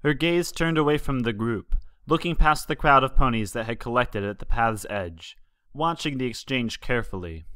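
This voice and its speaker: A man narrates written fan fiction as a story reader. His pitch sits in the middle of the male range. The recording is dry, with almost no room sound. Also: animated, moderate pace, sharp tone, clean tone, no echo.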